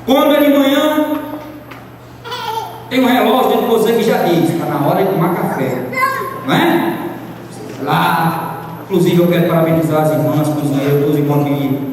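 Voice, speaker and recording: A man preaching a sermon, swinging from loud high outbursts to low asides. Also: fairly even intonation, normal speed, pauses often, a little hiss or hum. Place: large church hall. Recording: echoey hall from far off.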